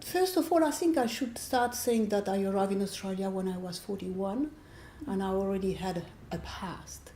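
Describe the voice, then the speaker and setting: A woman in her sixties from France with a French accent, a novelist speaking reflectively in an interview, often pausing to search for words. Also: medium pitch, warm tone, slight background noise. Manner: thoughtful, searching for words, restarts phrases. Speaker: author being interviewed. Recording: echoey room.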